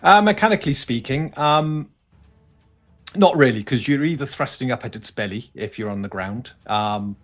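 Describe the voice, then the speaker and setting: A man's voice: mid-range voice, lively intonation, normal speed, a bit bright, soft background music. A man acting as game master, improvising narration and explaining rules in a live tabletop game.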